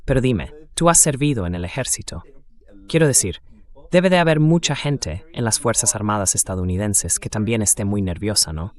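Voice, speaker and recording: A man's voice: lowish voice, animated, moderate pace. A synthetic male voice-over reading a translated conversation about war and politics in an interview style.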